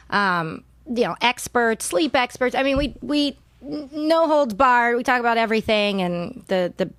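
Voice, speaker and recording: A woman's voice: mid-range voice, smooth, no echo. A middle-aged woman, guesting on a podcast and telling rambling stories with frequent laughs. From Southern California. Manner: warm, laughing often, rambling storytelling. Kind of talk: casual interview chat.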